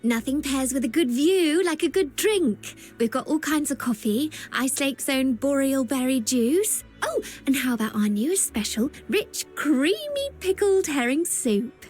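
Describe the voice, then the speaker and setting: A woman's voice: high voice, very animated, unhurried, hardly any pauses, darkish tone, faint music behind. A woman voicing a perky, eager young waitress in a video game, with a chirpy sales manner. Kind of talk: animated video game voice acting.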